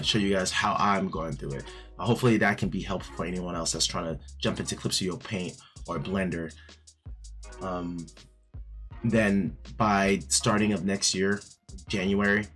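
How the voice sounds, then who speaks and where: A man reviewing an art book in a casual vlog, his voice raspy from just waking up, rambling with many fillers and restarts. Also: deep voice. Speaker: art YouTuber. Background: soft background music.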